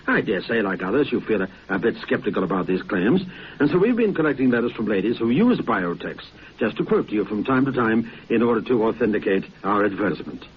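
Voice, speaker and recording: Courteous old-time radio adman, lively intonation. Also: lowish voice, fast. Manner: courteous, formal. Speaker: advertisement presenter. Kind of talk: old-fashioned radio sponsor's pitch. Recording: dull, faintly noisy old radio recording.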